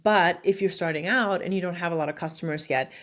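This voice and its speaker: A woman's voice: fairly low pitch, quick pace, a bit bright. A middle-aged woman from Germany with a German accent, giving direct, sometimes silly business advice to camera as a marketing coach on her channel.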